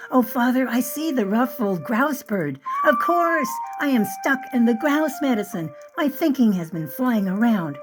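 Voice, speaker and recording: A woman putting on a defiant teen girl for a read-aloud story, raised voice, sharp and mocking. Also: fairly high pitch, very animated, measured pace, hardly any pauses, over music, voice pushed up. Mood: troubled. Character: spirited sixteen-year-old Cherokee girl, haughty.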